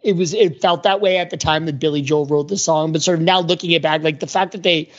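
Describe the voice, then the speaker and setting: A man's voice: on the high side, fairly even intonation, fast talker. A man talking casually as a podcast panelist about pop culture, in a wordy, rambling, analytical way. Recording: dull lo-fi audio.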